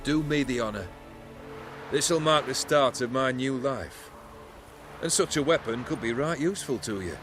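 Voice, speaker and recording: An actor playing a world-weary, grieving nobleman in a video game, with eloquent, old-fashioned, measured phrasing. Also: mid-range voice, over music, natural voice. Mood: resolute, grateful. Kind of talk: video game cutscene acting.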